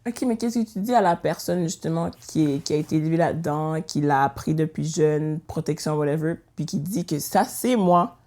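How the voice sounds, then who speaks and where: A woman with a Québécois accent speaks in a slightly low voice during a casual podcast conversation. She asks her questions directly and drops English words into her talk. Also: brisk, hardly any pauses, dark tone. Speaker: podcast co-host. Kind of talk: casual chat.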